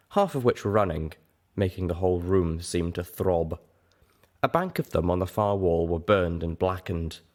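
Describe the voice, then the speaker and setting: Male audiobook narrator, low register, dramatic first-person delivery with expressive phrasing.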